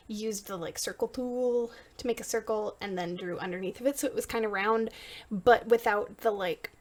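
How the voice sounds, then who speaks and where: A woman giving casual, informal behind-the-scenes commentary on her drawing, with a self-deprecating manner. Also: a little high. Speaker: portrait artist and voice actor for a fan manga dub.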